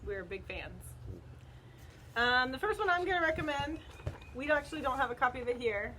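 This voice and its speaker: A woman's voice: high voice, animated, brisk, sharp tone, poor audio. A middle-aged woman recommending books casually as a co-host, speaking informally and hesitantly outdoors. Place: outdoors in wind. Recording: wind noise on the microphone.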